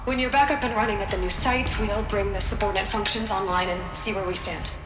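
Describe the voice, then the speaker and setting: A woman's voice: medium pitch, fairly even intonation, a little fast, lightly acted. A woman acting a caring, resolute lead scientist in a video game, firm and thoughtful. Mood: businesslike. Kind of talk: naturalistic video game drama. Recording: echoey room with music underneath.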